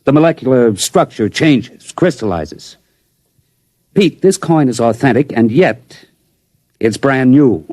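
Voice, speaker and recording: An actor playing a skeptical, deliberate lab scientist in a 1950s radio drama. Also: male voice, mid-range voice, expressive, a little mellow, no echo. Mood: puzzled. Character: brisk male lab scientist, skeptical but curious.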